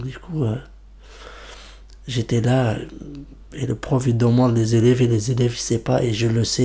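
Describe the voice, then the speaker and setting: A young man from Iraqi Kurdistan whose first language is Kurmanji, telling his story in a halting way, with many restarts. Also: mid-range voice, vocal fry, warm tone. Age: young adult. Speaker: interviewee. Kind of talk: personal testimony.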